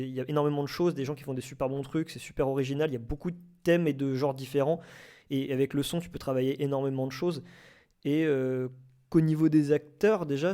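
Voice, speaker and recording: A man's voice: medium pitch, dark tone. A man talking in a casual debate, with an informal, wordy, slangy way of speaking. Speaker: debate participant, amateur audio fiction maker.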